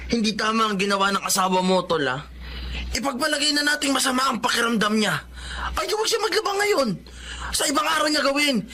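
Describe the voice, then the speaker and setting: A blunt, indignant young Filipino brother in a radio-style drama. His voice is very high and bright, with a Filipino accent. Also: big pitch swings, fairly quick, slight background noise, heavily acted.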